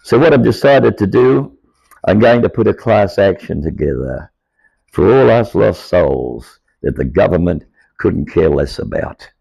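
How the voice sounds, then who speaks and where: An older Australian man with a croaky, aged voice, telling his life story to camera in an earnest, rambling way. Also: sits low, expressive, measured pace, warm tone, dry recording, low-quality recording. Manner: earnest, rambling, grows insistent. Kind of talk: personal monologue to camera.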